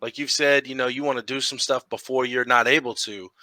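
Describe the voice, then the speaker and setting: A man in his thirties interviewing a fighter, relaxed and agreeable. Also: medium pitch, fairly quick, no room sound. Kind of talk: casual interview.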